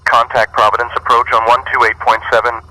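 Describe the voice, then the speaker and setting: A man's voice: mid-range voice, level tone, fast, sharp tone, poor audio. A synthetic man's voice playing an air traffic controller, giving clipped, formal, routine instructions over a radio. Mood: businesslike, routine.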